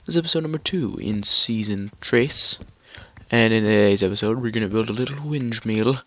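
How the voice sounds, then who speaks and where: Young male gaming host, nasal from a cold, low voice, dry close mic, meandering casual commentary. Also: fairly quick, a bit bright, dry recording. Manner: rambling with many ums and restarts. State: stuffy nose from a cold. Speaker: gaming YouTuber.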